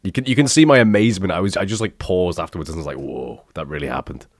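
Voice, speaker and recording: Very deep-voiced congested young man. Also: quick pace, no room sound, clean studio sound. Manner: rambling, self-deprecating, swears casually. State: congested, bunged up from illness. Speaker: youtuber reacting to his old videos. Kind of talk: casual reaction commentary.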